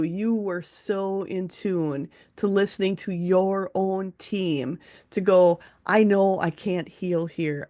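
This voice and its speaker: Low-voiced woman hosting a spiritual interview. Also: darkish tone. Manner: earnest, rambling, relays messages from spirit guides. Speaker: host, teacher and medium. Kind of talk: casual interview chat.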